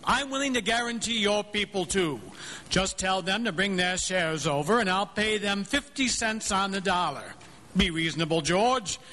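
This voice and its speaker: Man playing a sharp, high-voiced scheming old banker. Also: normal speed. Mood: coldly calculating. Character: calculating, predatory rich old male banker. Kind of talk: live radio drama acting. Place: theatre stage. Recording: live theatre stage, long hall reverb.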